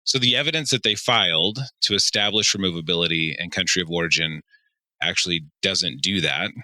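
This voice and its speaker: Low, very sharp-edged male co-host. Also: brisk, dry recording. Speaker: podcast co-host. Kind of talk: casual anecdotal explanation.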